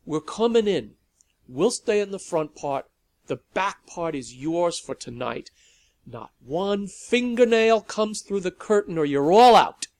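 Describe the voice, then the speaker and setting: A man doing a gruff, barking woman vampire. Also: sits high, big pitch swings, a little slow, a little mellow, no room sound, pitched above the performer's own voice, full character voice. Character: tough, foul-mouthed woman vampire, protective.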